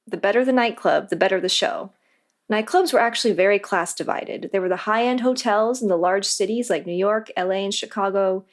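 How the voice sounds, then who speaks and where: A woman with a medium-pitched voice speaks as an interview guest. Her delivery is scripted and explanatory, with a conversational touch. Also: a little fast.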